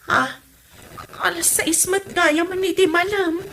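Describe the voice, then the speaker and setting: Very high, bright, defensive Ilocano wife played by an actress. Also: female voice, steady tone, unhurried, a little hiss or hum. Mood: nagging. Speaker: actor in a comedy drama. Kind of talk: Ilocano comedy radio drama acting.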